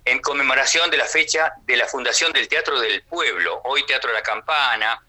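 An older Argentine man with a Rioplatense accent reminisces conversationally as a radio guest. His voice is strikingly bright and sharp at an average male pitch. He rambles amiably through his phrases, often tagging them with a small question. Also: normal speed. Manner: rambling, chatty, frequent question tags. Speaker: theatre actor and radio guest.

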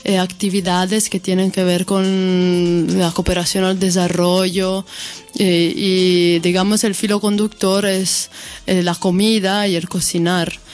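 A woman from Italy, a guest musician on a radio interview, speaking in an unhurried, thoughtful way with hesitations. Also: lowish voice, little pitch movement, darkish tone.

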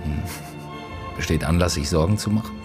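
A man's voice: deep voice, brisk, over music, natural voice. An actor playing a weary, terse and brooding male police detective in a naturalistic radio drama, questioning and sometimes hesitant. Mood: probing, dry.

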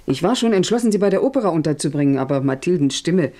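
A woman's voice: fairly low pitch, animated, quick pace, warm tone. An actress in a German radio play playing a middle-aged, needy mother who runs a discreet brothel, deferential and hesitant. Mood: plaintive.

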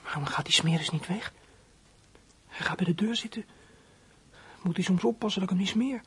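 Husky, brooding runaway teenage boy. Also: mid-range voice, moderate pace. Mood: nervous, resentful. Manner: brooding inner monologue, muttering to himself. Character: fourteen-year-old runaway boy, sullen, bitter, hunted. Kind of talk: radio play acting.

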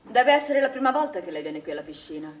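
An actress playing a firm, defensive director of a swimming pool in a naturalistic stage drama, speaking formally. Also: female voice, a little high, very animated, fast. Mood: indignant, probing. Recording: roomy, distant stage recording with noise.

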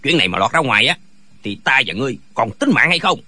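A man voices an old wuxia father, gruff and easily agitated. The voice is husky and very animated in pitch, recorded dry for an audiobook. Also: quick pace, dry recording, exaggerated voice. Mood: angry, fearful. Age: older. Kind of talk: dramatized wuxia audiobook dialogue.

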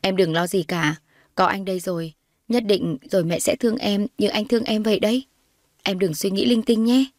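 A protective young man voiced by a woman narrator in an audio drama. He is gentle and placating, a devoted brother with a soothing manner, recorded dry. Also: medium pitch, brisk, no echo, close to an everyday voice. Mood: tender, reassuring.

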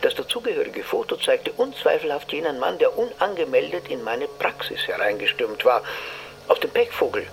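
A man's voice: fairly high pitch, expressive, moderate pace, gravelly, a little hiss or hum, poor audio. An actor playing a self-assured, pompous male psychotherapist in a German radio play, speaking in elaborate, theatrical phrases. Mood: self-satisfied narration. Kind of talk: German radio play, dialogue and first-person narration.

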